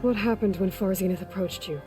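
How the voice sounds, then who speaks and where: An actress playing a guarded, inquisitive young heroine in a video game, asking questions in a direct, wary way. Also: female voice, medium pitch, fairly quick, over music, natural voice. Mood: curious. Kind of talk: video game cutscene dramatic acting.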